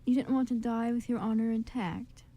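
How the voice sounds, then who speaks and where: A woman's voice: a little high, steady tone, moderate pace, dark tone, natural voice. Woman as a haughty, menacing young council man. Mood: cold probing. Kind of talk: amateur radio drama reading.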